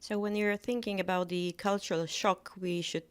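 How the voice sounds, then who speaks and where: Flat-toned woman explaining calmly. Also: medium pitch, normal speed, clear, resonant tone. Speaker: podcast co-host. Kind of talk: explaining, casual podcast talk.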